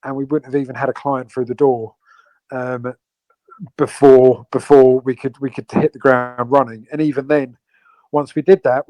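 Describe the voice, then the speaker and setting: A man's voice: medium pitch, darkish tone, dry recording, low-quality recording. A man from the UK, co-owner of a crypto project, explaining it at length in an online Q&A with many fillers and restarts. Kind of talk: AMA guest explaining a project.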